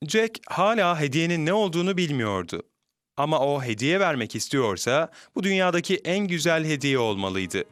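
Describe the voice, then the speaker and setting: Expressive storybook narrator man. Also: fairly high pitch, moderate pace, no echo.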